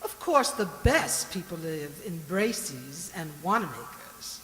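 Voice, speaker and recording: A woman's voice: lowish voice, big pitch swings, measured pace, a bit bright. A woman playing a haughty, snobbish society lady in a radio drama, speaking in an imperious, affected, scornful way. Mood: gracious, affected. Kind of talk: theatrical radio drama acting. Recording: echoey hall, hissy background noise.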